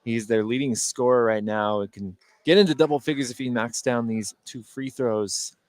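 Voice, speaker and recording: A man giving conversational basketball analysis as a color commentator, informal and often pausing to find his words. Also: mid-range voice, darkish tone. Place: indoor basketball gym, courtside. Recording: live gym broadcast, faint background noise.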